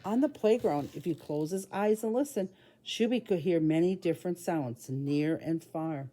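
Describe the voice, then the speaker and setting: A woman preschool teacher reading aloud at storytime for young children, speaking simply and deliberately in short phrases. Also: fairly low pitch, mellow.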